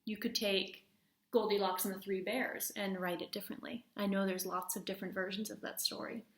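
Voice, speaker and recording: A woman teacher reading a storybook aloud to children, expressively, pausing to put questions to them. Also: mid-range voice, flat delivery. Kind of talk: storybook read-aloud with class discussion. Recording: echoey room.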